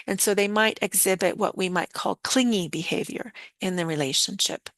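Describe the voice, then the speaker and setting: A woman with a slightly hoarse voice, calmly explaining ideas and speaking straight to her viewers in a live online lesson. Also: mid-range voice, normal speed, no echo. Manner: calm, explanatory, addresses viewers directly. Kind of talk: teaching a live online session.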